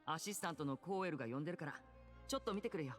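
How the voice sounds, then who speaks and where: A man's voice: fairly high pitch, animated, very fast, fairly bright tone. An actor playing a busy, polite young male stage magician in Japanese video game voice acting. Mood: politely hurried. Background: video game music.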